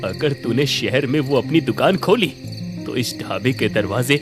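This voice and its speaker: Man acting a gruff, proud village father, animated pitch. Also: unhurried. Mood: angry. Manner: gruff, scolding, sarcastic. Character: proud, stern middle-aged father running a village roadside eatery. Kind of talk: cartoon story acting. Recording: music under the voice.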